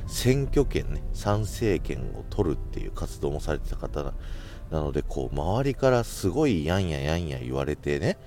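A man's voice: very deep voice, sing-song, moderate pace, a little mellow, soft background music. A man hosting a solo radio-style podcast, talking casually and politely to his listeners in a chatty way.

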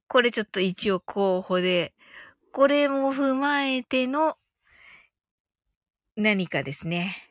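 A woman's voice: on the high side, lively intonation, slow pace, pauses often, dry recording, clean studio sound. A woman hosting a casual live audio stream, thinking aloud in a giggly, cheerful way as she chats and reads out comments.

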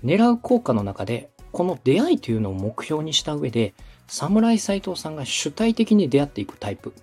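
A man from Osaka hosting a podcast consultation, explaining things politely and methodically with drawn-out hesitations. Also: fairly high pitch, sing-song, darkish tone, breathy edge, soft background music. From Osaka, Japan. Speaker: podcast host, radio director coaching a client.

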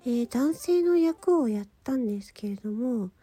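A woman hosting a solo radio-style talk, speaking politely and gently in short, halting phrases. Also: a little high, lively intonation, slightly rough. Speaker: radio show host and amateur voice actor.

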